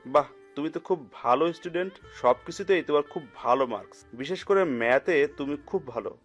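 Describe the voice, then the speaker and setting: Kindly middle-aged uncle played by a man, slightly high voice, hearty and insistent, audio drama with music behind. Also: expressive, fairly quick. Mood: reassuring. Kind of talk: audio story acting. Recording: music under the voice.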